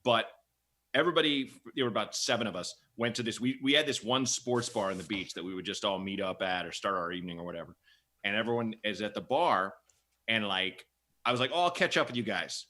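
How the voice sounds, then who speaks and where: A man's voice: lowish voice, fairly quick, bright, forceful tone. A man hosting a comedy podcast, chatting casually and telling stories in a rambling, repetitive way. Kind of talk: casual comic banter and storytelling.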